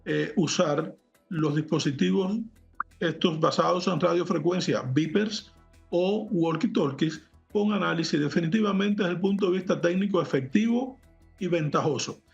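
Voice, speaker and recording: A man speaking as a technology expert guest, explaining things in a measured, didactic and precise manner. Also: a little high, gravelly. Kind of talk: explanatory interview commentary. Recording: muffled lo-fi audio, music behind.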